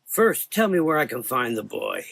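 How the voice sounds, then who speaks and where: A man's voice: fairly high pitch, big pitch swings, moderate pace, gravelly, no room sound, pitched above the performer's own voice, heavily acted. A man voicing a fanatical villain doctor in a gothic horror audio drama, sneering, taunting and volatile. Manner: taunting, volatile, shouting in anger.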